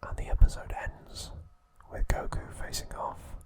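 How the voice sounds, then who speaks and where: A man whispers softly and breathily, as an ASMR host does. His delivery is very slow and gentle, broken into short, soothing phrases meant for relaxation. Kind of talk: whispered ASMR trivia narration and sign-off.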